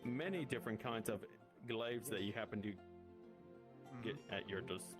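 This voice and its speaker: A man in casual improvised role-play chat, explaining things in a halting way. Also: on the low side. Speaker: role-player playing a monster hunter guild member. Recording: online game voice chat, music behind. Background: music.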